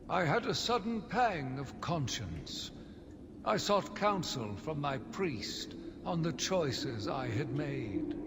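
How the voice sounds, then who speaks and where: A brooding, zealous madman in cavernous reverb. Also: a little high. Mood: righteous conviction. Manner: theatrical, deliberate, brooding delivery with dramatic emphasis. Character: sinister, zealous, self-righteous madman obsessed with punishing monsters. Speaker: voice actor playing a villain narrator. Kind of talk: dramatic scripted monologue, audio journal from a video game. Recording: long reverb, clearly noisy background ambience.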